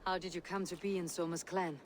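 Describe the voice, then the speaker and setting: A woman playing a shrewd, loyal Viking warrior in a video game, speaking calmly and tersely as she probes. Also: fairly low pitch, fast talker, faint music behind, close to an everyday voice. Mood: curious. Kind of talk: video game dramatic acting.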